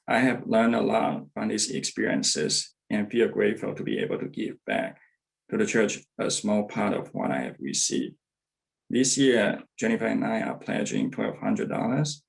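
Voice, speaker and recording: Low gravelly-voiced man from Taiwan. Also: low-quality recording. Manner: careful, measured delivery with some hesitations. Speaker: church member giving testimonial. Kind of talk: reading a prepared testimonial.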